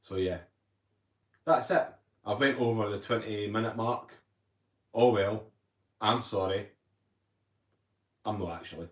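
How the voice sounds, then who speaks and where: A man talks loosely on a livestream, rambling from one thought to the next and reading out viewers' comments as he goes. His voice sits a touch low for a man. The sound carries a noticeable room echo. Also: a little slow, pauses often. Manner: chatty, rambling, reads viewer comments aloud. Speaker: livestream host. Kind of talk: casual livestream chat. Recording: roomy echo.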